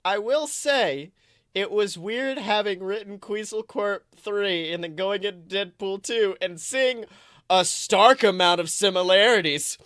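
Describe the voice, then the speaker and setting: A man co-hosting a podcast, chatting casually about films in an animated, rambling way with frequent swearing. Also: high-pitched, measured pace, clean tone, no echo, clean studio sound. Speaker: podcast co-host.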